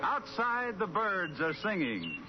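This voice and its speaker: An American man playing a cheerful announcer for a 1940s radio family comedy, with bright theatrical storytelling. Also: high-pitched, wildly swooping pitch, slow pace, full character voice. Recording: dull vintage 1940s radio, music behind.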